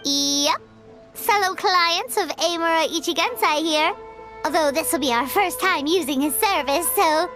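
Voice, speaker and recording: A woman's voice: very high voice, a little slow, fairly bright tone, faint music behind. A woman voicing a tiny, blunt, chirpy female fairy companion in a game, who speaks of herself in the third person. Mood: casual. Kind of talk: game voice acting.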